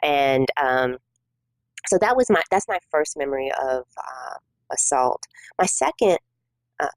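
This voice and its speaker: A middle-aged woman from South Korea, a native Korean speaker, sharing her personal testimony as a guest in an interview, speaking softly with many pauses. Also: a bass voice, expressive, no room sound. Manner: soft-spoken and measured, many pauses and fillers. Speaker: guest minister.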